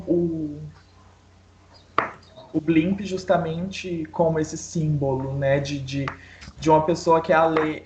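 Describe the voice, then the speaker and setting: A woman's voice: low-pitched, darkish tone. A woman in an online book club, talking through a short story in a thoughtful, analytical way with frequent restarts. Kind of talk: casual literary discussion. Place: home, online video call. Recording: echoey room with noise.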